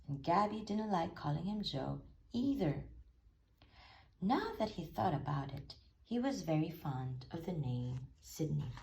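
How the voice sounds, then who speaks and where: A woman reads a children's storybook aloud in a fairly low voice with some creak. She narrates expressively and takes her time over the lines. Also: a little mellow. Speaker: storybook reader.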